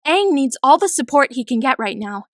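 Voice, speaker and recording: A woman voicing an earnest, insistent young girl in an animated-style comic dub. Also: sits high, normal speed, dry recording, studio quality. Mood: concerned.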